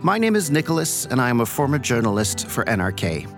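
Measured curious podcast host, a man. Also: mid-range voice, sing-song, music in the background. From raised in many countries, born in Belgium. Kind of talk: scripted podcast intro, then interview.

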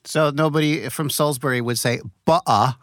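A man hosting a podcast interview, measured in tone and a little halting when asking questions. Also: fairly high pitch, fairly even intonation, a bit bright, no room sound, clean studio sound. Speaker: podcast host.

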